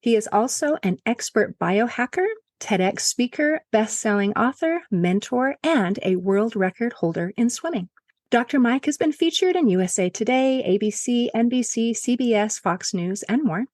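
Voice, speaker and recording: A woman's voice: fairly high pitch, a little mellow, no room sound, studio quality. A woman hosting a podcast, reading a scripted introduction and then asking questions in an enthusiastic, upbeat way. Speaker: podcast host.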